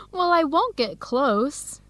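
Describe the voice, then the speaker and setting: An actress with an American accent playing an enthusiastic, curious visiting woman scholar in an educational radio drama, with clear, simple phrasing. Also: high voice, background noise, heavily acted.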